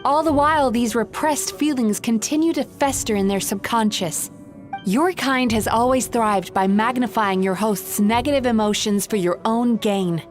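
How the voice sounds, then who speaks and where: A woman plays a calm, principled young woman from a video game. Her slightly high voice stays composed and earnest as she reasons through an argument. Game music plays clearly behind her. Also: moderate pace, natural voice. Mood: firm.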